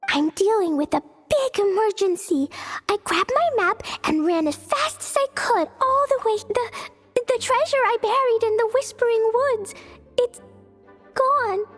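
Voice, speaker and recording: A sweet, excitable little girl from an anime game. The voice is extremely high and bouncy in pitch, slow and earnest in a childlike way, with faint music underneath. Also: heavily acted. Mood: anxious. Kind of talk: anime video game voice acting.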